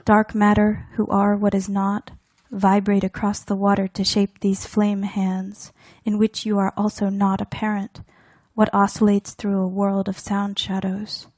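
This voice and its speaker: A woman reading her own poetry aloud in a deliberate, measured way, in fragmented phrases with weighted pauses. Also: medium pitch, monotone, a little mellow, no room sound. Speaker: poet reading own work.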